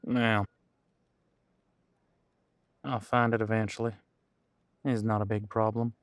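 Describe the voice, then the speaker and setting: A man's voice: on the low side, flat delivery, takes it slowly, no room sound, clean studio sound. A man playing a friendly, long-serving supernatural squad cop in an audio roleplay, chatting in an easygoing, folksy, slightly hesitant way. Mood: folksy, reminiscing. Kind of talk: conversational audio roleplay to an unseen partner.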